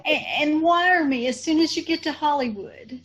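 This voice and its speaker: A woman's voice: sits high, normal speed, a bit bright, highs cut off. A woman playing a tender, fretful mother of a grown son in an old-time radio drama, hesitant and pleading. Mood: worried, tearful.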